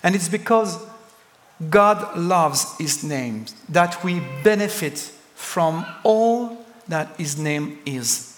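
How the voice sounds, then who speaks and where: Slow French-accented preacher in a hall. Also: male voice, on the high side, animated, slight background noise. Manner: slow, deliberate preaching with emphatic pauses. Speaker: pastor. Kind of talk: sermon.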